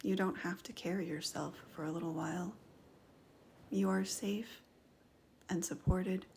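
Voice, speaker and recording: A woman guiding a meditation in a soft voice that often slips into a whisper. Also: lowish voice, fairly even intonation, unhurried, vocal fry, a little hiss or hum. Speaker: meditation guide. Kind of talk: guided meditation narration.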